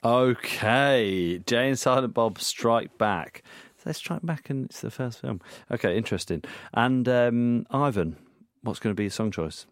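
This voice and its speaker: A man's voice: medium pitch, lively intonation, a little slow, no room sound, clean studio sound. A man hosting a podcast, asking questions in an easygoing, encouraging way. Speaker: podcast host. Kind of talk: casual interview.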